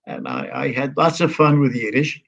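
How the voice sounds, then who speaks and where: A man in his nineties, an interview guest from the United States, reminiscing in a halting, rambling way full of restarts and fillers. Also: medium pitch, gravelly, a bit bright, highs cut off. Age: 90s. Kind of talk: conversational reminiscing.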